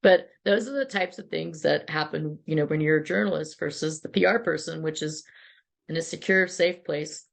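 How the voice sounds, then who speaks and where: Middle-aged woman guest, low gravelly voice, chatty storyteller. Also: moderate pace. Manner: chatty, many restarts and asides. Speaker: interview guest, PR agency founder. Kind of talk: casual interview storytelling.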